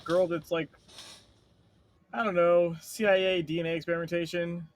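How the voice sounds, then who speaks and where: Man, podcast co-host, slightly high voice, rambling animated storyteller, casual swearing. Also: moderate pace, dry recording. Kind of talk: casual chat.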